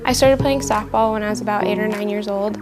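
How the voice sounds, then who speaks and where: A young woman from Utah talks casually, as an athlete being profiled. Her pitch is middling and stays very even, with prominent guitar music playing behind her. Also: fast talker. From Utah, United States. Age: early 20s. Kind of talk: casual interview self-introduction.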